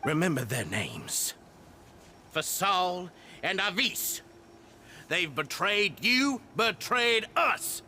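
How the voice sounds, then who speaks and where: An actor playing a cruel, self-righteous prison gang boss in a video game, ranting in a theatrical, menacing and grandiose way. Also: male voice, sits high, very animated, slow, pauses often, pushed and bright, a little hiss or hum, heavily acted. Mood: furious, menacing.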